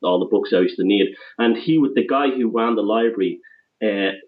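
A man's voice: lowish voice, moderate pace. An Irish man, a guest author, telling his story in a rambling, conversational way over the phone. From Ireland. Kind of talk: phone interview. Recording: dull, distant phone line.

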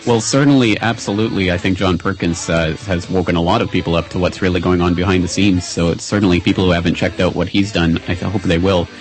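A man hosting a radio interview, talking in a measured, wry way with frequent "uh" restarts. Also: deep voice, fairly even intonation, soft background music, low-quality recording. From Canada. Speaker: radio show host.

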